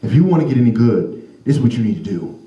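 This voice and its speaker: A man voicing a blunt old man who gives stern, honest advice in a slow, gruff way. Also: mid-range voice, very animated, dark tone, roomy sound, highs cut off, pitched below the performer's own voice, close to an everyday voice.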